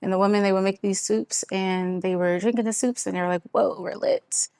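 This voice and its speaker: A woman's voice: mid-range voice, steady tone, brisk, warm tone, clear, resonant tone, no echo, clean studio sound. A young woman chatting casually as an interview guest, a brewer talking about her craft. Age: young adult.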